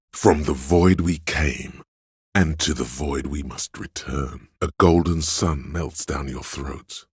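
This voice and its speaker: A man's voice: slightly rough, no echo, studio quality, heavily acted. A man playing a seductive, indulgent inner voice of craving in a video game, narrating in the second person with a theatrical, coaxing, savoring delivery. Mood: soothing, grandiose. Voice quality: very deep, resonant.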